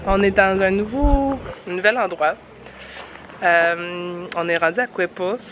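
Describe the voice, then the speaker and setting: A woman's voice: mid-range voice, a little slow, a bit bright, low-quality recording. A young woman with a Québécois accent and a stuffy cold, chatting casually and laughing often in a travel vlog, filmed outdoors on a handheld camera. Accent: Québécois French. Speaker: travel vlogger. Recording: outdoor ambience with traffic noise.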